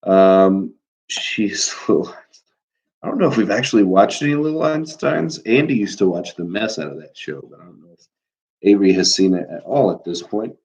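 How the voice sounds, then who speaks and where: A man's voice: low-pitched, animated, normal speed, a little mellow. A man with a stuffy nose, a teacher chatting casually from home on a live class stream, rambling with plenty of fillers. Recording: dull lo-fi recording.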